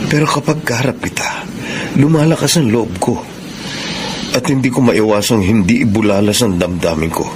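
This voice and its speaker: An actor with a Filipino accent playing an earnest, lovestruck suitor in a melodramatic radio drama. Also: male voice, fairly low pitch, moderate pace, lightly acted. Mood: ardent pleading. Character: ardent, lovestruck male suitor pleading his love. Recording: noisy audio with ambient sound.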